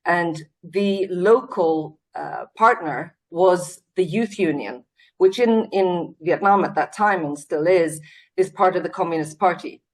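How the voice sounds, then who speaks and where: Swedish-born woman, earnest and low-pitched. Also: measured pace. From Sweden. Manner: earnest, reflective, many fillers and restarts. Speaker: interviewee, company CEO. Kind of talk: casual interview on a video call. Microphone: video call.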